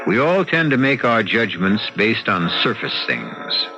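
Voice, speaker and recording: A male narrator introduces mystery drama in a theatrical old radio style. His fairly low voice moves in lively, knowing swings. The recording is muffled, with prominent music underneath. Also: unhurried. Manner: knowing, rhetorical. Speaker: host and narrator of mystery series.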